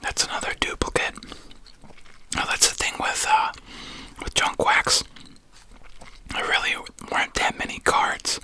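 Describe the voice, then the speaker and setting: A video host whispering casually in an unvoiced ASMR style, with halting, conversational delivery while showing trading cards. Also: bright, forceful tone. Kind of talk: whispered ASMR card-opening chat.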